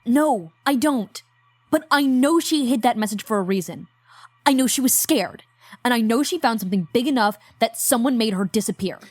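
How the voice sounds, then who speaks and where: A woman's voice: a little high, expressive, normal speed, darkish tone. An actress playing Maddie, a driven, blunt young woman in a horror audio drama. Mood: pleading. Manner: blunt, determined. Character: stubborn, driven young woman chasing the truth. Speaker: voice actor.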